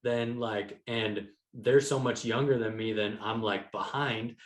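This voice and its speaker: A man's voice: mid-range voice, fairly even intonation, unhurried. Man in his thirties, roomy echoing sound. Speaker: podcast guest, entrepreneur and author. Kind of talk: casual interview conversation.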